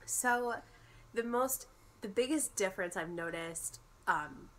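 A woman's voice: mid-range voice, animated, takes it slowly, slight background noise, rough recording. Congested young woman vlogging. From United States. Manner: chatty, explanatory, friendly. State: congested from a cold. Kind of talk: casual vlog. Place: bedroom at home.

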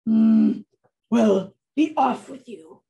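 A woman's voice: a little high, expressive, drawn-out words, creaky, mellow, lo-fi sound, heavily acted. A woman voicing a mean, ugly, greedy troll in a children's story, roaring out threats with growled hums. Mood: grudging.

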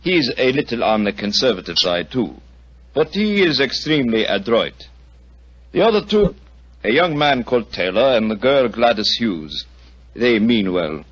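Eminent scientist, courteous, precise, quietly frustrated, slightly rough voice, dull vintage radio sound. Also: male voice, medium pitch, sing-song. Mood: wry. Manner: formal, measured, precise. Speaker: radio actor. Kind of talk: 1940s radio acting. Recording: dull old 1940s radio recording.

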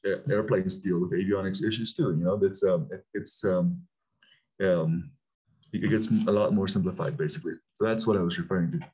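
A man in his forties from Peru, an aerospace CEO talking as a guest over a video call, halting with many fillers and restarts, then bursting into animated emphasis. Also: deep voice, husky, poor audio. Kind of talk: casual interview talk.

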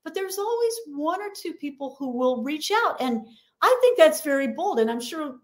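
Middle-aged female coach, high and animated, energetic interview guest. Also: normal speed. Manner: energetic, acts out quoted voices. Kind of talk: podcast interview.

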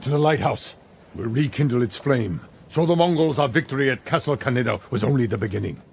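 A man playing a stern, commanding older samurai lord in a game drama, speaking in a formal, measured, authoritative way. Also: mid-range voice, animated, vocal fry, a little hiss or hum. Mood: rousing, defiant. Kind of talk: cinematic game drama acting.

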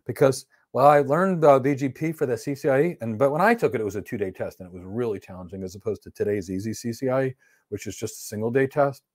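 A middle-aged man with a voice of middling pitch runs a live networking class. He is blunt and emphatic and answers each question matter-of-factly. He speaks from an indoor studio to a camera framed at chest height. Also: fast talker, a little mellow. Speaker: networking instructor. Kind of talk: live-stream Q&A teaching. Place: indoor studio with virtual backdrop.

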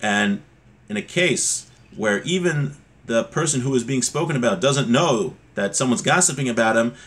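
A middle-aged man giving an informal religious lesson, explaining with examples in a conversational, somewhat halting way. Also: mid-range voice, expressive, fairly bright tone. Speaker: religious teacher. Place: small home room, indoors. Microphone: webcam or laptop mic at desk distance.

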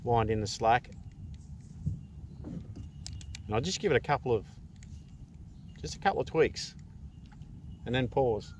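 A middle-aged man with an Australian accent explains his fishing technique in a casual, unhurried way. His voice sits at an ordinary male pitch. He is recorded outdoors on a kayak by an action camera, with noticeable noise behind him. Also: darkish tone. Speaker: fishing vlogger. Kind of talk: casual tutorial chat while fishing. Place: outdoors in a kayak on open water.

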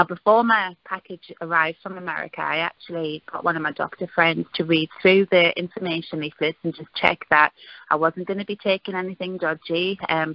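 A middle-aged Geordie woman talks on a conference call, chatty and upbeat, with plenty of ums between her thoughts. Her voice sits a little low for a woman. The line sounds dull and lo-fi, close and dry. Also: no echo. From northeast England. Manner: chatty, many "um" fillers, upbeat. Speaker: caller giving a testimonial. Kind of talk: casual phone testimony.

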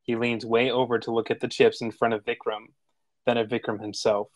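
A man's voice: mid-range voice, flat delivery, brisk, no echo. A man reading out the action lines of a film script as narrator at a table read.

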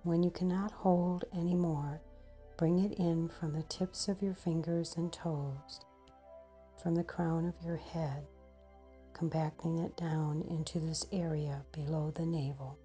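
A woman leading a slow guided meditation in a breathy, husky voice that often nears a whisper, pausing between short phrases. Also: sits low, steady tone, mellow, faint music behind.

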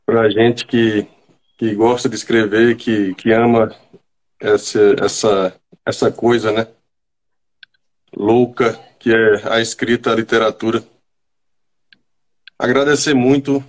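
A man from Bahia, a writer guest, speaking haltingly over a video call while recovering from a cold. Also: on the low side, level tone. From Bahia, Brazil. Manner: halting, repeats words while searching. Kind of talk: casual video-call interview. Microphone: video call from home.

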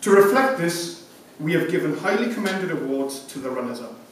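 Echoey, higher-pitched man giving a formal speech. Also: lively intonation, moderate pace. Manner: formal, reading from prepared notes. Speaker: sponsor presenting an award. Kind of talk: scripted award presentation speech. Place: conference room, indoors. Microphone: lectern mic, picked up by distant camera. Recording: echoey room from far off, hissy background noise.

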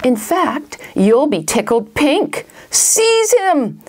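A woman's voice: medium pitch, very animated, a little slow. A woman voicing a scheming male mad scientist villain in a storybook, theatrical and menacing. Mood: menacing relish.

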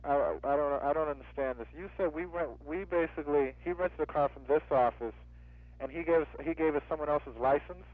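A man's voice: fairly high pitch, brisk, no room sound. A man working as a rental agent on a customer service phone call, insistent and questioning, often repeating himself. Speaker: car rental office agent. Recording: tinny, narrow-band phone line.

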